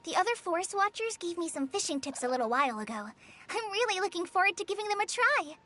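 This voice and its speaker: A woman's voice: very high voice, very animated, fast, sharp tone, exaggerated voice. A woman voicing an eager, sweet young forest ranger girl in an anime game, earnest and girlish.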